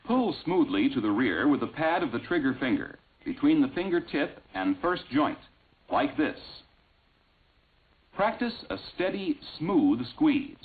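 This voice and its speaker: A man reading scripted instructional narration in a measured, clearly enunciated way, on an old film soundtrack. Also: medium pitch. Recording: tinny, band-limited vintage film soundtrack.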